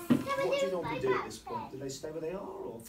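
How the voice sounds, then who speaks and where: A middle-aged headmaster reading a picture book aloud in a classroom, playfully asking the children questions and slowing down on the rhymes. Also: male voice, a little high, huge pitch swings. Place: primary school classroom, indoors. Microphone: camera across the room. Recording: distant camera, noisy classroom.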